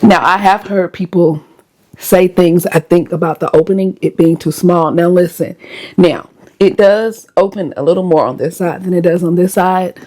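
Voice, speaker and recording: A woman with a hoarse voice sits a little low in pitch as she chats easily with her audience. She talks straight to viewers in a relaxed, conversational vlog style. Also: normal speed. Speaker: lifestyle vlogger. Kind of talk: casual chat to viewers.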